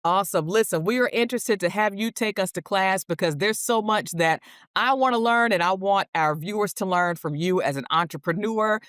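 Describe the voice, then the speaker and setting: A woman's voice: medium pitch, moderate pace, a bit bright, clear, resonant tone, no room sound, clean studio sound. A woman presenting and interviewing as a show host, with a polished, complimentary delivery.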